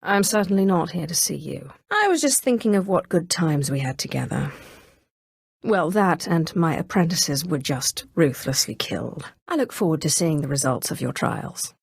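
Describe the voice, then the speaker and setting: A woman's voice: deep voice, animated, normal speed, creaky, slightly rough, no echo, clean studio sound, heavily acted. A woman voicing a ruthless, sardonic Sith sorceress in a video game, haughty, cool and ambitious. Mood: dry sarcasm. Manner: haughty, cool, dryly sarcastic. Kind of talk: scripted video game voice acting.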